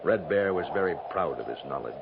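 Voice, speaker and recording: Frontier Jesuit: American, gentle, steadfast, lively inflection, hissy old radio sound. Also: male voice, sits low, brisk, creaky. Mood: calm, assured. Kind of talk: 1950s radio drama acting. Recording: tinny, noisy 1950s radio recording.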